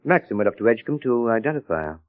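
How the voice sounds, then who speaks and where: An actor playing a grave, reticent confidant in an old radio drama, with measured, reluctant delivery. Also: male voice, mid-range voice, big pitch swings, natural voice. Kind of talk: 1930s radio drama acting. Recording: very muffled old radio recording with music.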